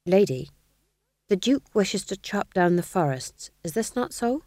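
A woman voicing a nervous, quick-witted male court jester in a storybook, with a deferential and eager patter. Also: mid-range voice, lively intonation, darkish tone, no room sound, close to an everyday voice. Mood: cautious.

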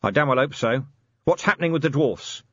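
A man voicing a weary, gruff police commander in an audiobook, terse, dry-humoured and commanding. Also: mid-range voice, animated, a little fast, no echo, dull audio, voice pushed up, lightly acted. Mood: wry, terse.

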